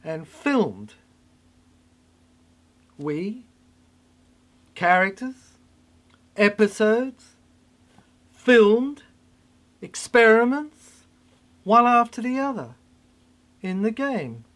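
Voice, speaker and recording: Slow, halting speaker with dramatic swings. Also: mid-range voice, expressive, fairly bright tone. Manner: halting, fragmented, emphatic repeated phrases. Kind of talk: rambling cryptic monologue.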